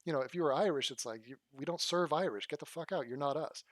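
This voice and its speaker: A man's voice: fairly high pitch, fast talker, dry recording. A man hosting his channel, giving blunt, rambling casual commentary and swearing freely. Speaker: channel host reacting to a clip.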